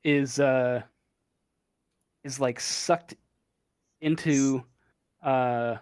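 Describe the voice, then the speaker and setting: A man running a tabletop horror game as game master, narrating with many pauses and fillers. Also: medium pitch, steady tone, a little mellow, clean tone, dry recording. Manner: halting, many ums and uhs. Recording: online voice chat.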